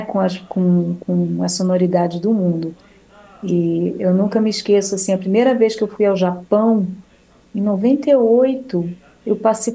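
Mellow-voiced Brazilian woman singer. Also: mid-range voice, low-quality recording. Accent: Brazilian Portuguese. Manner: warm, rambling, with restarts and frequent "né?". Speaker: singer and choir leader. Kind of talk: casual interview talk.

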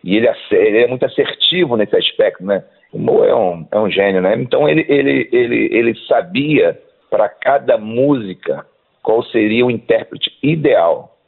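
A man's voice: fairly low pitch, animated, moderate pace, creaky, breathy edge. A man in his fifties, a songwriter and author, answering interview questions in a hesitant, casual way, often restarting and tagging lines with a question. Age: 50s. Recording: tinny phone line.

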